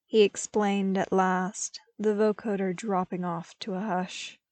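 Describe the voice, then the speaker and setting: A woman reading scripted story narration as an audiobook narrator, with careful, expressive delivery. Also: medium pitch, a little slow, no room sound. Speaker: podfic narrator.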